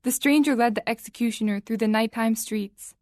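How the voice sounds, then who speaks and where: A woman narrating a scripted story for audio drama in a measured, suspenseful storytelling manner. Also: fairly high pitch, darkish tone, no echo, clean studio sound.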